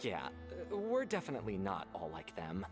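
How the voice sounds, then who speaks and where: A man's voice: low-pitched, huge pitch swings, moderate pace, faint music behind. A man playing a friendly, slightly hesitant young man in a video game, eager and musing.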